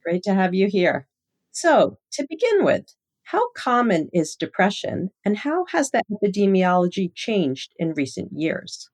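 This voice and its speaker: A woman's voice: medium pitch, very animated, a little slow, darkish tone. A woman hosting a podcast, putting scripted interview questions in a formal, professional way.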